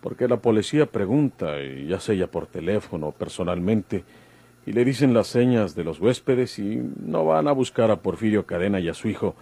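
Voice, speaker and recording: Very deep, crafty old Mexican outlaw. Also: male voice, lively intonation, normal speed, mellow, slightly rough. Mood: confiding. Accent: Mexican, rustic northern. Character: crafty fatherly outlaw disguised as a worn old man. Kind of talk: old radio drama acting. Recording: noisy old radio recording with hum.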